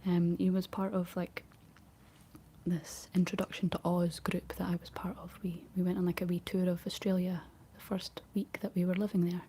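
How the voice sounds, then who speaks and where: A Scottish woman whispering a rambling, chatty ASMR talk in a breathy voice, laughing often as she goes. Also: on the low side, flat delivery, moderate pace, warm tone, a little hiss or hum. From Scotland. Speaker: ASMR creator.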